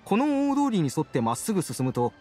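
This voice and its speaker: 丁寧で抑揚のある事務員. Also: male voice, fairly high pitch, huge pitch swings, fast, dark tone, soft background music. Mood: courteous. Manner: courteous, formal, businesslike. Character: polite, earnest young male office clerk. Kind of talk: Japanese anime game voice acting.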